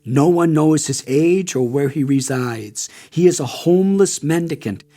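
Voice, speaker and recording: Hushed, awestruck old temple priest, voiced by a man. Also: a little high, a little slow, lightly acted. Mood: hushed awe. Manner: hushed, awed. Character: awestruck elderly male temple priest, reverent, secretive.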